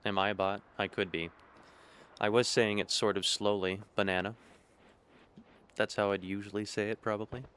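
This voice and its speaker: A man's voice: on the low side, moderate pace, long pauses, smooth. A young man with a Michigan accent, chatting casually with his stream chat while playing a game. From Michigan, USA. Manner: relaxed, musing, talks to chat between game moves.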